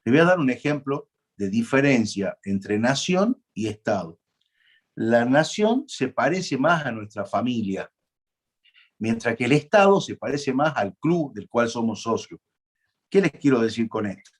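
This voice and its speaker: A man delivers a university law lecture at a moderate, middle pitch. He speaks conversationally, pausing on fillers and restarting phrases. He keeps turning to the class with questions. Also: big pitch swings, husky. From Argentina.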